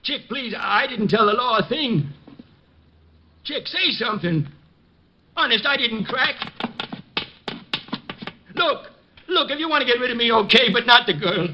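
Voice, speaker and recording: High-voiced, fearful old ex-con. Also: male voice, animated, a little slow, pauses often, a little hiss or hum, heavily acted. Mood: terrified, pleading. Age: older. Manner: pleading, streetwise slangy speech. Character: frightened, protective old ex-convict street peddler. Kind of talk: 1940s-50s radio drama acting. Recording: dull vintage radio recording.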